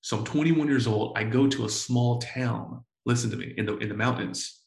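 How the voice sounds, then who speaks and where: A man in his forties telling stories in a casual interview, full of slang and swearing, excitable and often repeating himself. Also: mid-range voice, normal speed, creaky, echoey room. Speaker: entrepreneur guest.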